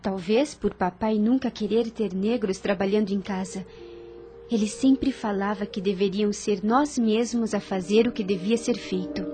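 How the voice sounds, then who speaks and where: An actress playing a compassionate, grave young plantation lady in a radio drama, earnest and measured, with a Brazilian accent. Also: mid-range voice, steady tone, close to an everyday voice. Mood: pensive, troubled. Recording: music under the voice.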